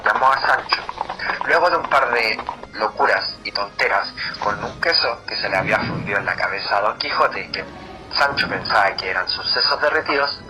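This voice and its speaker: A man's voice: mid-range voice, low-quality recording. A man reading a scripted plot summary as a narrator. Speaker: narrator of a student book summary.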